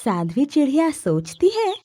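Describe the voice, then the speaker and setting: A woman narrates a children's story in a high, mellow voice. Her intonation is lively, and faint noise with bird chirps sits behind her. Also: moderate pace.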